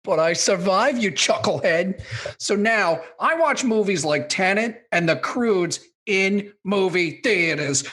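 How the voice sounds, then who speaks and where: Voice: medium pitch, fairly bright tone. An actor playing a cranky, dismissive film critic in their sixties in a scripted comedy radio skit. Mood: indignant. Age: 60s. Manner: grumbling, dismissive, combative. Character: cranky opinionated 68-year-old film critic, a baby boomer.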